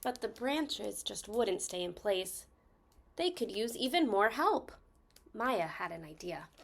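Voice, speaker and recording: A woman reading a children's story aloud expressively, stressing key words with deliberate phrasing. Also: medium pitch, sing-song, gravelly, a little hiss or hum.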